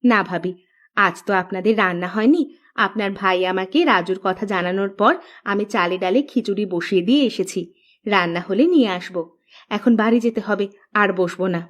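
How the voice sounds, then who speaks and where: A woman's voice: medium pitch, normal speed, natural voice. A woman acts a village housewife and mother in a kids' cartoon. Her voice sits well below a child's, firm and motherly, with a scolding edge. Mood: hospitable, matter-of-fact.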